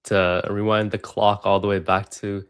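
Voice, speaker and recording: A man's voice: fairly low pitch, flat delivery, normal speed, smooth, no echo, studio quality. A man in his twenties who grew up in Sri Lanka, a podcast guest telling his story casually, full of fillers and restarts. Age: 20s. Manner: rambling with many fillers and restarts.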